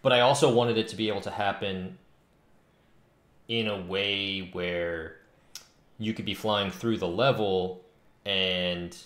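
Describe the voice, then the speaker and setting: A man's voice: sits low, fairly even intonation, slow, pauses often, fairly bright tone. A man in his thirties talking casually and informally as he thinks aloud while livestreaming game development work. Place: indoor home room. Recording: roomy echo, faint noise, keyboard clicks. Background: faint noise, keyboard typing and clicks.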